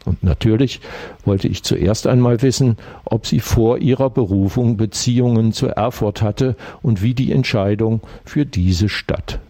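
A man interviewing a guest for radio, asking calm, measured questions. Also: fairly low pitch.

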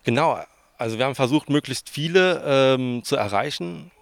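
Creaky young man with southern Hessian accent. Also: mid-range voice, normal speed, dry recording. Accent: southern Hessian German. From southern Hesse, Germany. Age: 20s. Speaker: interviewee, student researcher. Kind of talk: informal interview answers.

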